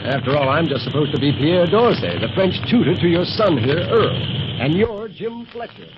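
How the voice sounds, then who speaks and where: A genial secret agent leader, animated and fairly high, teasing and assured. He has 1930s radio serial delivery, with muffled, noisy sound. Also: normal speed. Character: genial, steady secret agent uncle in charge.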